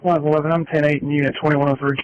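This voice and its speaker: A man's voice: a little high, level tone, racing, lo-fi sound, a little hiss or hum. A man reporting as a police officer over a two-way radio.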